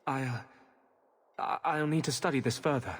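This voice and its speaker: A man's voice: medium pitch, moderate pace, a bit bright, husky. A man playing a skeptical, bookish mage in a fantasy video game, articulate, emphatic and argumentative. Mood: thoughtful, unsettled.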